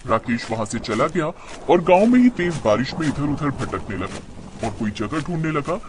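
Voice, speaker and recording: A man's voice: mid-range voice, big pitch swings, moderate pace, a little mellow, slight background noise. A man narrating a scripted moral story as its storyteller.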